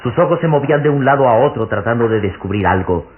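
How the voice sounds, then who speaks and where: A man's voice: medium pitch, lively intonation, fast talker. A man giving dramatic scripted narration for a radio serial. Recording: tinny old radio recording, music behind.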